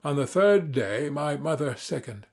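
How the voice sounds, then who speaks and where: A man reading classic literature as a dramatic audiobook narrator, formal, measured and earnest. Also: fairly high pitch, animated, gravelly, a little mellow, husky.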